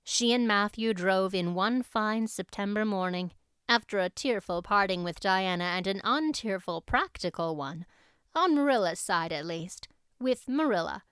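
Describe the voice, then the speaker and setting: A woman's voice: mid-range voice, expressive, a little slow, fairly bright tone, smooth, dry recording, clean studio sound. A woman reading scripted storybook narration as an audiobook narrator.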